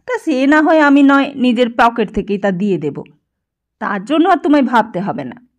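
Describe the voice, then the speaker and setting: A woman's voice: on the high side, sing-song, moderate pace. A woman voicing an older male office boss in an audiobook, patronising and self-justifying, with an emphatic way of talking. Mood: patronising, insistent. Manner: emphatic, counting out sums aloud.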